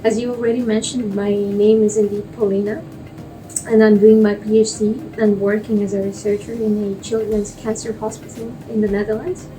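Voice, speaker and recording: A young woman from Russia whose first language is Russian explains things as an interview guest. Her voice is mellow and of medium pitch. She sounds a bit far from the microphone, with faint music behind her. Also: flat delivery, normal speed. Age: young adult. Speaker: guest, biomedical researcher. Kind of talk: explanatory interview answers for a lay audience.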